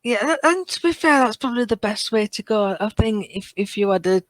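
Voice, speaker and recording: A British woman in casual fan chat as a podcast co-host, halting as she thinks aloud. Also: on the high side, expressive, no room sound.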